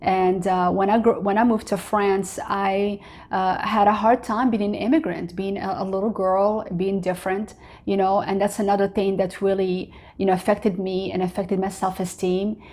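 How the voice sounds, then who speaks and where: A woman from Morocco speaks in an earnest, open way as the host of her own vlog. Her English is a second language, and she keeps filling pauses with "you know" and "uh". Her voice is pitched in a middle range. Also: little pitch movement, darkish tone. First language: not English. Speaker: vlogger host. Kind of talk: personal motivational talk to camera.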